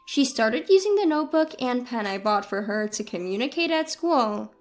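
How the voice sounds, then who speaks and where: A woman's voice: on the high side, expressive, moderate pace, soft background music. A woman reading a scripted first-person family story as its narrator.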